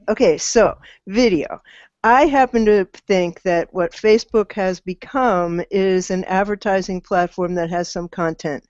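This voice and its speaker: A woman's voice: medium pitch, darkish tone, clear, resonant tone, no echo. An older woman speaking as a panelist in an online discussion over a video call. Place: indoor room on a video call. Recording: dull, lo-fi video call audio.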